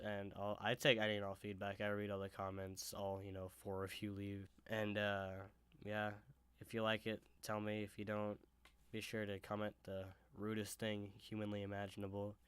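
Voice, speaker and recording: Low-voiced young man, sick and congested. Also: monotone, normal speed, a bit bright, smooth, no echo. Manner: casual, conversational. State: sick, with sore throat and blocked sinuses. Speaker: YouTuber. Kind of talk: storytelling vlog.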